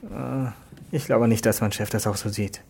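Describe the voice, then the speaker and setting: Tired grumpy rookie cop, super low husky voice, radio drama. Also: female voice, steady tone, normal speed, mellow, exaggerated voice. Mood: weary. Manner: sleepy, terse, reluctant. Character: weary, irritable young rookie policewoman. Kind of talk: naturalistic radio drama acting.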